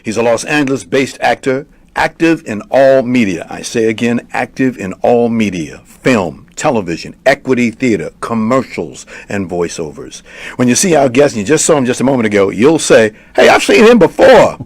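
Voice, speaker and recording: A man's voice: mid-range voice, sing-song, normal speed, dry recording. A man from Harlem hosting an interview show with upbeat delivery, repeating phrases for emphasis. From Harlem, New York. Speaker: talk show host.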